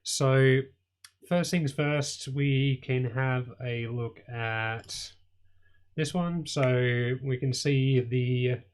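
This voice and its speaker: A young man hosting a casual electronics coding tutorial, slightly hoarse as he loses his voice, rambling with lots of ums and sort-ofs. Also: mid-range voice, drawn-out words. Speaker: host of an electronics channel.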